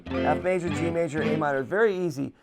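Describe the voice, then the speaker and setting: A man with a low voice giving a casual guitar lesson, relaxed and chatty, with plenty of fillers. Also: fast. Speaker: guitar teacher.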